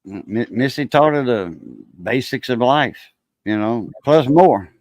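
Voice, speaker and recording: A man's voice: medium pitch, expressive, unhurried, darkish tone, no echo, poor audio. A man about to turn sixty chatting casually on a live stream, laid-back, laughing easily and using many fillers. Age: 50s. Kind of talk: casual chat.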